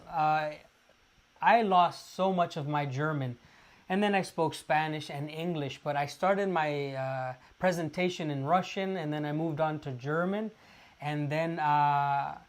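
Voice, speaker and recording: A man from Los Angeles, chatting casually to viewers on a livestream and pausing often with fillers. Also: a little high. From Los Angeles, California. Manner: halting, restarts often with many "uh" fillers. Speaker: livestream host.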